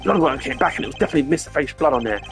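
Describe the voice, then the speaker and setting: A man's voice: fairly high pitch, expressive, very fast. A man voicing an earnest, deferential police detective in a video game, eager and informal. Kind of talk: amateur video game voice-over. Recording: loud music under the voice.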